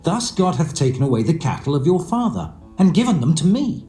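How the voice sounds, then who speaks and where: An actor playing an earnest, firm, hard-working shepherd, declaiming in a dramatised scripture reading. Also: male voice, a little high, very animated, brisk, warm tone. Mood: aggrieved, solemn. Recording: roomy echo, faint music behind. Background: faint guitar music.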